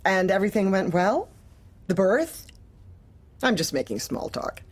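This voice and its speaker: An actress playing a cold, manipulative corporate manager in an audio drama, clipped, controlled and falsely polite. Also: female voice, mid-range voice, sing-song, unhurried, breathy edge. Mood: falsely pleasant. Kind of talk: audio drama acting, dark comedy.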